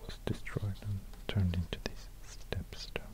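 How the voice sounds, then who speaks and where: Whispering Swedish-accented man, very slow. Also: sits low, level tone. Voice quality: breathy, whispery. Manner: slow, hushed, with long pauses. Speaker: ASMR video creator. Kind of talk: soft-spoken ASMR, whispered narration while drawing. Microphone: close binaural microphone.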